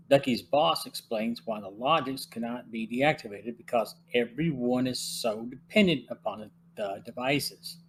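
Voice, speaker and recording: A podcast host reading a measured plot summary from notes. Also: male voice, mid-range voice, slight background noise.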